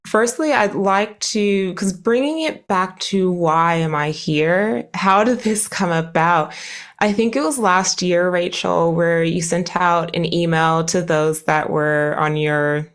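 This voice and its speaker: An American woman with a fairly low voice talks as a podcast guest. She speaks casually and enthusiastically, laughing easily as she goes. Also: slow. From United States. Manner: enthusiastic, lists many topics, laughs easily. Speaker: guest speech therapist. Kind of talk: casual interview talk.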